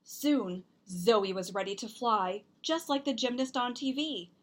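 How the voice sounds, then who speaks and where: A woman reading a children's picture book aloud, expressively and deliberately, with emphasized words. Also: on the high side. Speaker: storybook reader and host.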